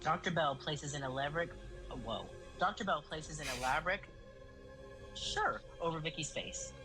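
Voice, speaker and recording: A woman's voice: low voice, moderate pace, music in the background. A woman reading scripted stage-direction narration as the narrator of an audio drama.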